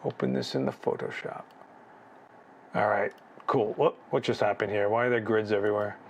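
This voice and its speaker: A man's voice: fairly low pitch, fairly even intonation, normal speed, vocal fry, highs cut off, slight background noise. A man hosting a live photo critique, chatting casually, thinking aloud with restarts and asking "right?", his voice a bit worn. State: losing his voice. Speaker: photography livestream host.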